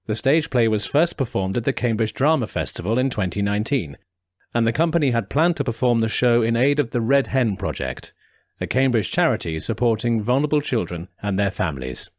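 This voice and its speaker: Male announcer, scripted read, lively intonation, medium pitch, close dry recording. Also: brisk, no echo.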